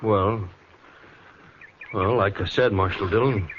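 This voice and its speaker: An American actor playing an anxious, earnest cowhand with folksy speech in a 1950s radio western. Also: male voice, lowish voice, takes it slowly. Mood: anxious explaining. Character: agitated cowhand reporting trouble to the marshal. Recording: muffled, faintly noisy 1950s radio recording.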